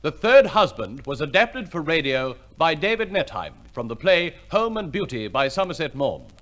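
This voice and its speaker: A man's voice: on the high side, sing-song, moderate pace, a bit bright. A man reading scripted announcements as a formal, polished 1950s radio compere, on an old recording.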